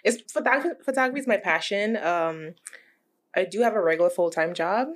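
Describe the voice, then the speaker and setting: A woman's voice: mid-range voice, a little fast. A young woman from Guyana chatting casually on a podcast, laughing easily as she talks. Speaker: podcast co-host.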